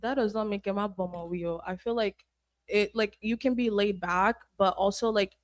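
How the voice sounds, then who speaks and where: Female panelist from Nigeria, earnest, mid pitch, dry recording. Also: fairly even intonation, normal speed, no echo. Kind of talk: panel discussion.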